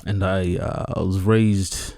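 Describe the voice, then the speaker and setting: A man's voice: low voice, little pitch movement, slow, gravelly, dark tone, dry recording, studio quality. A young man from Kenya, a podcast guest, talking in an easygoing, slangy, rambling way. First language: Kikongo. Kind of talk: casual chat.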